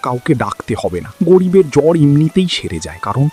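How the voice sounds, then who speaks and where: A man playing a weary, gentle village father in a cartoon story, lamenting his poverty in a plaintive way. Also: fairly high pitch, sing-song, a little fast, husky, soft background music. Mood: sorrowful, resigned. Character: weary, gentle, self-pitying poor village labourer father. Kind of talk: dramatized cartoon story acting.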